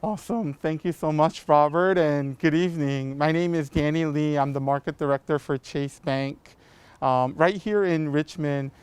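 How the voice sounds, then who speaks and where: A man's voice: a little high, clean tone. A middle-aged man speaking as a sponsor's representative, giving a polite, earnest prepared welcome speech.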